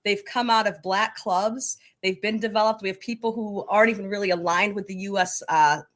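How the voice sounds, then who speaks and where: A woman's voice: mid-range voice, fairly even intonation, brisk, a bit bright. A woman answering interview questions as a soccer coaching advocate, in an emphatic, passionate conversational way.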